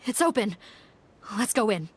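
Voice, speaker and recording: Calm, dutiful young police captain, bit high, barks orders, game voice. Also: female voice, normal speed, slight background noise. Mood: serious. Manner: composed, decisive, giving orders.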